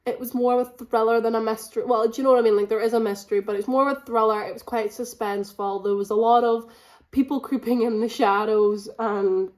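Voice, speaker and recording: A woman's voice: on the high side, steady tone, quick pace, darkish tone. A woman, chatting casually to camera and repeating phrases for emphasis, a bit run down with a cold. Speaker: book vlogger. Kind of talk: casual chat to camera, reading vlog. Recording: echoey room with faint background noise.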